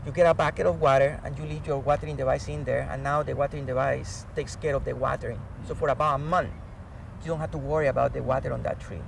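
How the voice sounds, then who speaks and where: A man's voice: mid-range voice, fairly even intonation, fast. A man from Colombia with a Colombian accent and Spanish as his native language, explaining things earnestly as an interview guest. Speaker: professor and climate change expert, interview guest. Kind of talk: animated explanatory interview talk. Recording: outdoors, distant mic, clear traffic noise.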